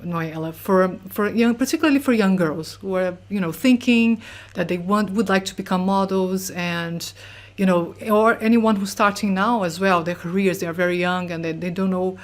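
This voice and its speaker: A woman interviewer asking long, measured questions with many fillers. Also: mid-range voice.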